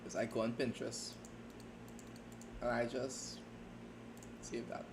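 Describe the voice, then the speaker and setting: A man chatting casually on a writing livestream, rambling and self-deprecating, talking in halting starts. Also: mid-range voice, little pitch movement, noisy background. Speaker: streamer and fan fiction writer. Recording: keyboard typing audible behind voice.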